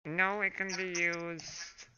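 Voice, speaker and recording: A hoarse man running a tabletop game as dungeon master, unhurried and full of fillers. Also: high voice, little pitch movement, pushed and bright. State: hoarse from a throat infection. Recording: muffled home recording with background noise.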